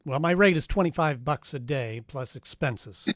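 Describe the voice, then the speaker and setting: An actor playing a wry, hardboiled private detective in a noir radio drama, casual, clipped and wisecracking. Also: male voice, on the high side, expressive, moderate pace, fairly bright tone, dry recording, studio quality. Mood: dry, businesslike.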